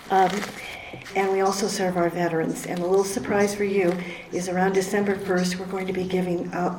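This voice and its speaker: A soft-spoken woman hosting an award ceremony, giving a formal welcome into a microphone with small restarts. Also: mid-range voice. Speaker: state society leader hosting an award ceremony. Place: meeting room with a microphone. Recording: echoey room, faint noise.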